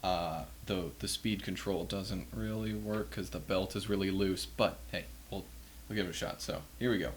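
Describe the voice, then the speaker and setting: A man with a fairly low voice talks through a hands-on demonstration of vintage equipment. He is casual and unscripted, with a wry, self-deprecating manner. A faint layer of noise sits behind his voice. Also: fairly even intonation, moderate pace.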